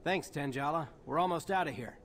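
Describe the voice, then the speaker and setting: A man's voice: a little high, a little fast, a bit bright, a little hiss or hum. A man playing a dry, casual soldier hero in a video game, speaking laconically. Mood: laconic. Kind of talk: video game dialogue acting.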